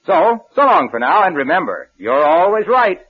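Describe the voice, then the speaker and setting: Lively higher-pitched male radio pitchman. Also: moderate pace, breathy edge. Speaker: radio announcer and sponsor pitchman. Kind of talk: 1940s scripted commercial announcing. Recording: dull vintage radio broadcast.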